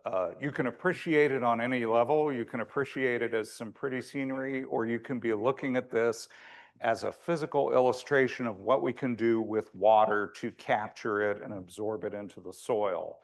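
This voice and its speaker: A man with a big voice and a medium pitch presents to an audience in a conversational lecture style. His delivery is informal and a little wry, with frequent hesitations as he explains things. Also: normal speed. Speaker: landscape architect giving a presentation.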